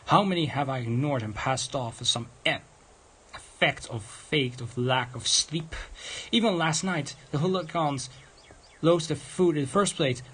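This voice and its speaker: A man livestreaming a visual novel, reading its text aloud in a halting, stumbling way and adding off-script comments. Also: fairly high pitch, fairly bright tone, breathy edge, low-quality recording. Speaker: game livestreamer. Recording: music behind the voice.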